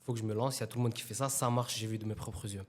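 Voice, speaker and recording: A man in his twenties, a guest from Italy, telling a story in a relaxed, slangy way in a casual interview. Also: lowish voice, racing. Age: 20s. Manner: relaxed anecdotal telling, slangy, with acted quotes.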